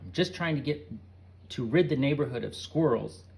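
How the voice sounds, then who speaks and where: A man voicing a rowdy, squirrel-hating male dog who shouts brashly. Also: medium pitch, expressive, normal speed, creaky, voice pushed up. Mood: yelling.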